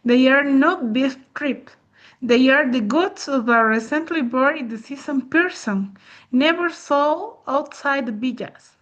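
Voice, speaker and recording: Woman acting a high-voiced, sly village neighbor, muffled. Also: fairly bright tone. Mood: sly, conspiratorial. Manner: deliberate, insinuating, mock-sweet. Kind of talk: amateur audio dramatization.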